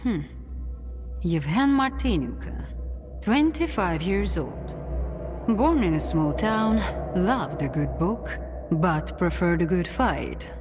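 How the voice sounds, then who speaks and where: A woman acting as a composed, quietly menacing authority figure in a video game, controlled, deliberate and faintly ironic. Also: fairly low pitch, sing-song. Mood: composed, probing. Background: music.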